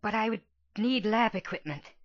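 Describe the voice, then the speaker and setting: A woman playing a gentle, injured young female pegasus pony in a dramatic story reading, speaking softly and weakly with labored breath. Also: mid-range voice, animated, normal speed, dry recording, highs cut off, natural voice. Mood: weak, apologetic. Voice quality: soft, weak voice.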